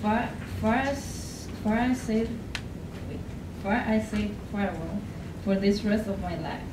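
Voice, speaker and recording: Earnest woman reading poetry at a podium. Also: mid-range voice. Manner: earnest, halting reading with restarts. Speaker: student contestant. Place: college lecture room, at a podium. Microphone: podium mic from a far camera. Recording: echoey room, far mic, clear hiss.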